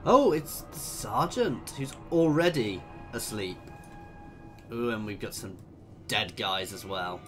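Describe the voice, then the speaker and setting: A man in his thirties commentating a strategy game, husky and tired from a cold, chatty and thinking aloud with lots of ums. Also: fairly low pitch, lively intonation, takes it slowly. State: hoarse with a cold. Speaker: gaming video host. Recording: voice over game music.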